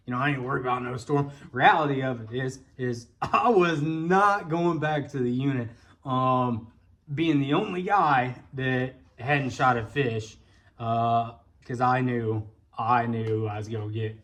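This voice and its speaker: Folksy American man telling a personal story. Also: medium pitch. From Indiana, United States. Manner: folksy, colloquial. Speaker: vlog host, bowfishing guide.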